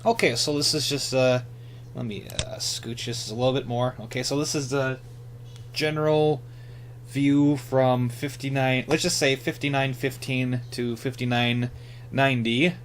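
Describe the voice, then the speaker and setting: A man talks through a hobby radio demonstration in a casual, halting way. He thinks aloud at a middling pitch, and a faint hum sits behind his voice. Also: level tone. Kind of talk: casual hands-on equipment demonstration.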